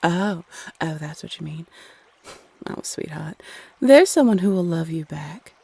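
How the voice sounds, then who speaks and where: A woman playing a flirty, playfully condescending female Cupid in an intimate roleplay audio, sultry and coy with drawn-out phrasing. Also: low voice, animated, vocal fry, mellow, breathy edge, exaggerated voice. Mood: reassuring, flirty.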